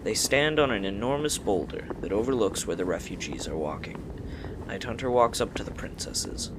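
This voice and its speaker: A man's voice: fairly low pitch, expressive, moderate pace, background noise. A man reading scripted story narration for an audio drama.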